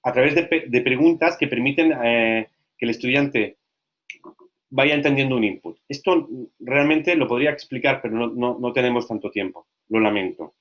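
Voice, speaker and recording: A man giving a lecture to language teachers, lively and often checking that listeners follow. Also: medium pitch, moderate pace, lo-fi sound. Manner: lively, asks frequent check questions.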